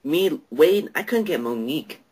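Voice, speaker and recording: A young man with a husky voice, joking casually with friends on a voice call. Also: on the high side, animated, moderate pace, a little mellow. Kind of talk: casual group chat on a voice call.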